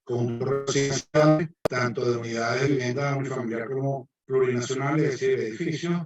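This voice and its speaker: A man's voice: mid-range voice, a little fast, over music. Echoey Venezuelan man lecturing. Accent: Venezuelan Spanish. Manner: hesitant, many fillers and restarts. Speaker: guest lecturer, civil engineer. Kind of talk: online lecture presentation. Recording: echoey distant muffled mic.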